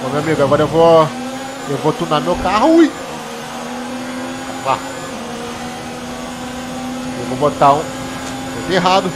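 A man's voice: a little high, lively intonation, unhurried, pauses often. A man from Brazil giving casual, joking commentary while he races in a simulator game. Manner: joking, exclamatory, chatty. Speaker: gaming streamer. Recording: clear background noise from game engine.

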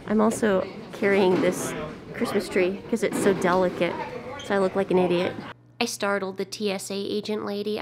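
Hoarse young female vlogger, excitable and chatty, self-filmed. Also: fairly high pitch. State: hoarse from shouting. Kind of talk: casual vlog to camera. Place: hotel room, then airport. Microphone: handheld camera at arm's length.

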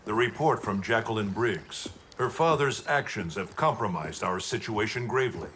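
Low-voiced, grave ancient god. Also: male voice, expressive, moderate pace, fairly bright tone. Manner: solemn, formal. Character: grave, ancient male thunder god protector. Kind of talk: video game cutscene acting. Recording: clear ambient noise behind.

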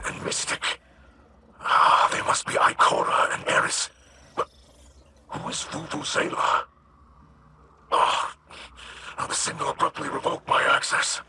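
A man playing a gentle, scholarly alien ally in a video game, speaking in a hushed, breathy, measured and formal way. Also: fairly high pitch, little pitch movement, background noise. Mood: hesitant surprise. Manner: measured, formal, hesitant, wonder-filled. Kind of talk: scripted video game dialogue.

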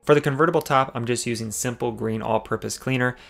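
A man's voice: medium pitch, quick pace, creaky, fairly bright tone, faint music behind. A man narrating a car detailing video as voice-over, explaining each cleaning step and giving tips. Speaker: car detailing channel host.

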